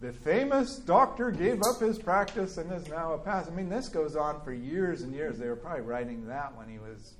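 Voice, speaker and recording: Man, Bible class teacher, conversational, animated, reads aloud, faint background hiss. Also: fairly high pitch, moderate pace, few pauses. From California. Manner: conversational, animated, acts out quoted voices, many restarts and fillers. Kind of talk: teaching a class on a biography, reading aloud and commenting.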